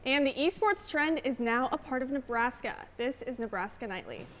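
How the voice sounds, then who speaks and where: A woman's voice: sits high, animated, moderate pace, loud music behind the voice. A young woman anchoring a student newscast, reading the script with upbeat delivery. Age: young adult.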